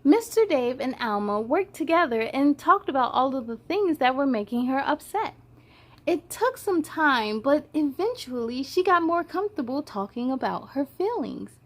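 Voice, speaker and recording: Female children's story host, high voice, expressive and playful read-aloud delivery. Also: normal speed. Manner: expressive, playful, addresses young listeners directly.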